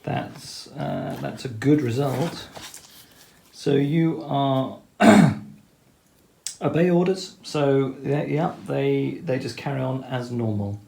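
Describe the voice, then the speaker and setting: A man's voice: medium pitch, slow pace, vocal fry, a little mellow, roomy sound. A man methodically explaining each step of a tabletop wargame turn, talking through the rules as he plays. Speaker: wargame player explaining turns. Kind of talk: tabletop game commentary.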